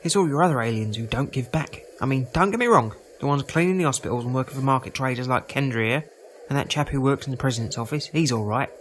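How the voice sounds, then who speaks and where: An actor playing a prejudiced, chatty market-stall trader in an audio drama, rambling in a folksy, defensive way. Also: male voice, mid-range voice, quick pace, slight background noise, lightly acted. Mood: self-righteous. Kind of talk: full-cast audio drama acting.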